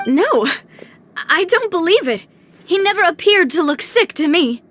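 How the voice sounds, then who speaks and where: High-voiced, proud young noblewoman. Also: big pitch swings, unhurried, fairly bright tone, full character voice. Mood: shaken. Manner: emphatic, emotional, well-spoken. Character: proud, determined young noblewoman, wounded but resolute. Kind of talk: dramatic video game cutscene acting.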